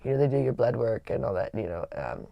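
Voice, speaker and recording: A woman with a raspy voice, hosting a livestream and telling a personal story in a candid, rambling way with frequent restarts. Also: very deep voice, sing-song, fast, muffled recording. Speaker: livestream host.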